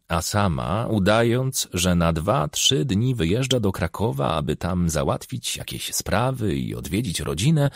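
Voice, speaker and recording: A man narrating a crime novel as an audiobook, expressive and measured, with a gravelly, husky voice on a close studio microphone. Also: on the low side, dry recording.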